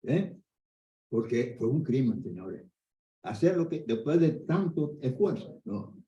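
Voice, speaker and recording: An older man, an orthopedic doctor giving a conference lecture in a lively storytelling way. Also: mid-range voice, slow pace. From Dominican Republic. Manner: conversational, animated storytelling with asides. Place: conference hall. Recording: echoey hall, dull lo-fi sound.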